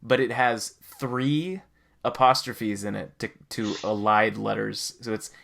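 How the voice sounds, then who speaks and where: A man's voice: fairly low pitch, fairly even intonation, unhurried, creaky, a bit bright. A man hosting a podcast in a casual, conversational style. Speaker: podcast host.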